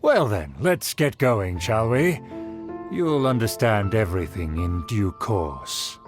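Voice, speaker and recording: A man's voice: on the low side, lively intonation, slow, over music. An actor voicing a haughty nobleman of a fallen clan in a video game, with formal, grandiose diction. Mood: self-assured. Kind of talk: scripted video game voice acting.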